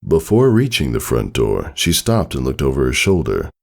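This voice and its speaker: A man's voice: a bass voice, huge pitch swings, moderate pace, vocal fry, a little mellow. A man reading a story aloud as a scripted narration. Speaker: fan fiction reader.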